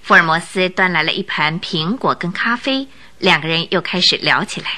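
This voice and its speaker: Bright, animated female storyteller. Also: on the low side, moderate pace. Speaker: narrator. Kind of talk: dramatic scripted storytelling.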